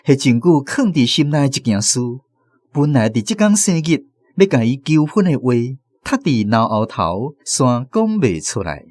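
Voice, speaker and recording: Animated, dry-recorded storyteller. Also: mid-range voice, moderate pace, no echo. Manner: expressive storyteller. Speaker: radio drama narrator. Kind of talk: dramatic story narration.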